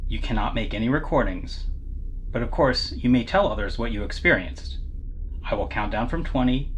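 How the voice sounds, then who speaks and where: An actor playing a calm, sympathetic technician who briefs a client in a measured, procedural way in an audio drama. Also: mid-range voice, a little hiss or hum, lightly acted, poor audio. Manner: measured, procedural, gently reassuring.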